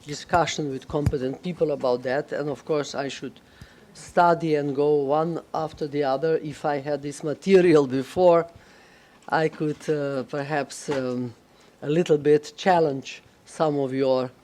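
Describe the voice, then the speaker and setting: A woman speaking English as a non-native speaker on a conference panel, halting and searching for words, with many fillers. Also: sits low, steady tone, dark tone, a little hiss or hum. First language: neither English nor Russian. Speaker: panelist, head of a management school association. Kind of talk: panel discussion remarks.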